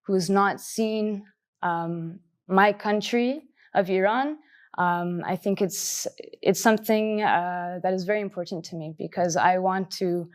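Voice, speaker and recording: A woman in her twenties on a panel, answering earnestly in a halting way with many fillers. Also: medium pitch, fairly even intonation. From Canada. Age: 20s. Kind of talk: personal answers in a panel discussion.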